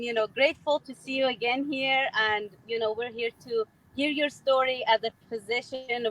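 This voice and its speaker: A woman's voice: on the high side, bright, forceful tone, low-quality recording. Cheerful woman on a call from a car. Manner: cheerful, laughs easily. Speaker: panel host. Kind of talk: casual webinar panel talk. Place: in a moving car. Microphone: video call.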